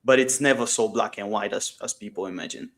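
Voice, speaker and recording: A man's voice: lowish voice, brisk. A man speaking as a guest in an online business session, explaining things informally and emphatically through anecdotes. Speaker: guest, startup CEO.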